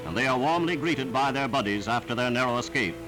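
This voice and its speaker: A man's voice: medium pitch, expressive, quick pace, over loud music, low-quality recording. A man reading scripted 1940s wartime newsreel narration in a formal, dramatic, declamatory manner. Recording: vintage 1940s newsreel soundtrack.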